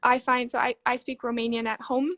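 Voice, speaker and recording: A woman's voice: a little high, flat delivery, fairly quick, fairly bright tone. A woman hosting a craft live stream, chatting easily and keeping the session moving with short friendly replies. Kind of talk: casual live-stream chat.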